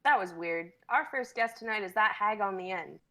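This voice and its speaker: A woman's voice: medium pitch, expressive, normal speed, pushed and bright. Woman playing a brash game show host. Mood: mock-stern. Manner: showy, insulting, announcer-like. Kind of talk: comedic live dramatic reading.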